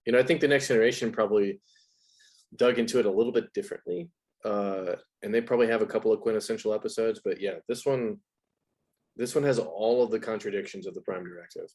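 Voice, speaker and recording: A man's voice: on the low side, quick pace, a little mellow. A man speaking as an interview guest in a casual, analytical chat, reflective and informal, with plenty of "like" and "you know.".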